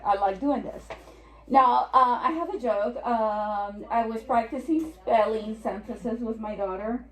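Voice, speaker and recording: Puerto Rican-accented woman speaking in an echoey room. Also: mid-range voice. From New York. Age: 40s. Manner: chatty, joking asides. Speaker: estate planning attorney. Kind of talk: seminar presentation.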